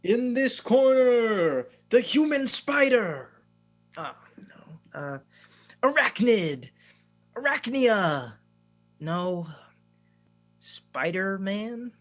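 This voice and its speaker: A high-voiced, awkward young science student, hesitant and self-conscious with a dry sense of humor. He speaks slowly, and a little guitar music plays faintly behind him in this radio play reading. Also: sing-song, exaggerated voice.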